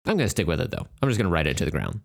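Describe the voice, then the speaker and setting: A man co-hosting a podcast, tossing off dry, deadpan wisecracks in casual chat. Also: sits low, rapid-fire, gravelly. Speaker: podcast co-host.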